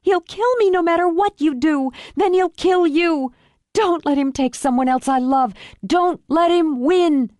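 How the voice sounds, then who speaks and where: A distraught young woman played by a female narrator in a thriller audiobook. Her voice is lifted high, breathless and urgent as she pleads. She sounds frightened and tender, recorded close and dry. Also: a little slow, a little mellow, dry recording. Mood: desperate defiance. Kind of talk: audiobook thriller character acting.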